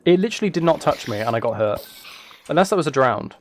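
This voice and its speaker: A man's voice: on the high side, animated, quick pace. Man rambling casually over keyboard clicks and noise. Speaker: live streamer. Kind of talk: casual chat with game commentary. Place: indoors at a computer. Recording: clear background noise, keyboard and mouse clicks.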